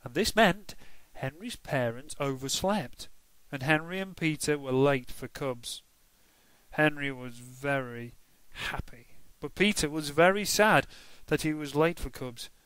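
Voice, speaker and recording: A man reading a children's storybook aloud, expressive and emphatic, with playful asides. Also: fairly high pitch, unhurried, smooth, dry recording, low-quality recording.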